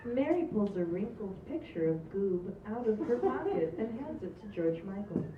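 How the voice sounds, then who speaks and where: A woman narrates screen directions at a live table read with an amused touch. She is heard from some distance in an echoey space, with clear background noise and a slightly dull sound. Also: medium pitch, big pitch swings, moderate pace. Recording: distant, echoey, muffled, noisy live recording.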